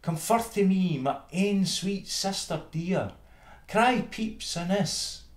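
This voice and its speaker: A man's voice: sits high, lively intonation, takes it slowly, roomy sound, voice pushed up. A man voicing a proud, fussy elder town mouse sister with a Scottish accent in a storybook verse reading. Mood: calling out. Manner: haughty, scornful.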